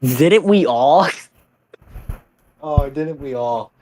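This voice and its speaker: A young man streaming and chatting casually, rambling, with his pitch jumping up when he stresses a point. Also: expressive, very slow, crowd noise, poor audio. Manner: rambling, swings up in pitch on emphatic lines. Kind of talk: casual chat.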